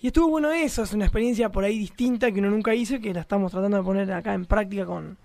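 A man's voice: sits high, racing, creaky, a little mellow, no echo. A man from Argentina with an Argentine accent, a radio play performer chatting casually as a guest. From Chillar, Argentina. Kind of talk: casual chat.

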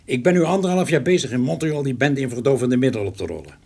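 An actor in a Dutch radio play playing a shrewd, patient narcotics investigator who speaks formally and deliberately. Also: male voice, medium pitch, animated, creaky, a little mellow, husky. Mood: calmly coercive. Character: shrewd, patient male police narcotics investigator, calmly coercive. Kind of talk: Dutch radio drama acting.

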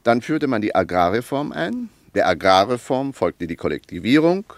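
An actor playing an argumentative intellectual in a radio play, lecturing in a rhetorical, emphatic and critical manner. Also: male voice, mid-range voice, big pitch swings, moderate pace, no room sound. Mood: bitterly critical.

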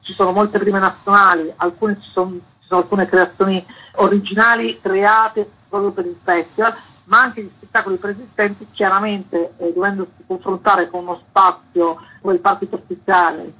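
A woman being interviewed on the radio as a festival organiser. Her voice is bright and forceful, with a husky grain. She explains the programme in an informational interview style. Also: fairly low pitch, low-quality recording.

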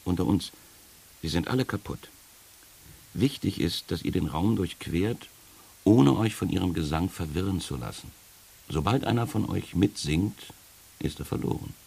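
An actor playing a sardonic guide of the dead in a radio play, speaking in a wry, gravelly, unhurried and instructing way. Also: male voice, sits low, lively intonation, mellow, exaggerated voice. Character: sardonic male guide leading the dead through trials. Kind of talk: 1980s German radio play acting. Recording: hissy early-1980s radio recording.

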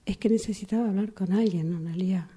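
A woman's voice: medium pitch, quick pace, dark tone, slightly rough, close to an everyday voice. A woman playing a defensive, evasive character in an improvised radio drama, repeating herself insistently. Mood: evasive, pleading. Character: defensive, evasive woman answering a suspicious wife.

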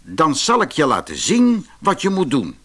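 A man voicing a wise, odd bookbinder in a fairy tale, deliberate and evasive as he instructs. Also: fairly high pitch, huge pitch swings, a bit bright, slightly rough, pitched above the performer's own voice, full character voice. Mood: businesslike, instructive. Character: wise, odd red-bearded bookbinder, cagey and deliberate employer. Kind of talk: fairy-tale audiobook character voice.

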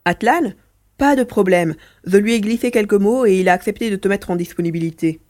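A woman's voice: mid-range voice, fairly quick, warm tone, lightly acted. A woman voicing a mischievous male mouse-beaver alien, a chatty, teasing adventurer in a French audio drama.

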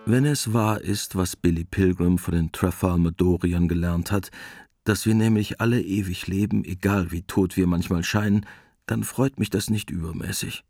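A middle-aged actor playing a weary, wry war veteran, narrating a literary radio drama in a laconic, resigned way. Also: male voice, deep voice, fairly even intonation, normal speed, vocal fry, a little mellow, dry recording, studio quality.

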